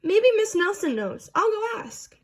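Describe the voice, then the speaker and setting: A woman's voice: extremely high pitch, sing-song, measured pace, pitched above the performer's own voice, exaggerated voice. A woman voicing a puzzled, meddling male school principal in a storybook, musing and fretful.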